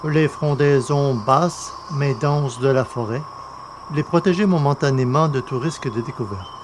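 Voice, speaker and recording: A man reading as a podcast host and narrator, with measured, articulate, scripted delivery. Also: medium pitch, background noise. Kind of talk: scripted podcast presentation and audio novel narration.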